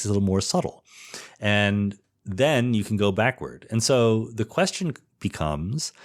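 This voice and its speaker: A man hosting a podcast, answering listener questions in an informal, explanatory way and correcting himself as he goes. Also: on the low side. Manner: informal, didactic, self-correcting. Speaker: podcast host.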